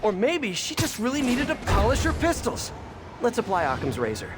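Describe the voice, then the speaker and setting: High-pitched, earnest young American superhero. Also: male voice, wildly swooping pitch, normal speed, loud music behind the voice, full character voice. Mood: wry, rambling. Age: young adult. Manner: earnest, chatty, wry. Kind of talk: video game voice acting.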